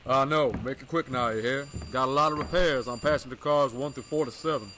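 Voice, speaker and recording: An actor playing a brisk, plain-spoken, folksy railyard foreman in an old-time radio drama. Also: medium pitch, sharp tone, slight background noise, lightly acted.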